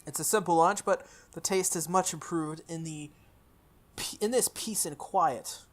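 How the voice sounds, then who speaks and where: A woman reading a visual novel aloud in first person, with expressive delivery, occasional stumbles and her own asides. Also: lowish voice, measured pace. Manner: expressive, self-correcting reading.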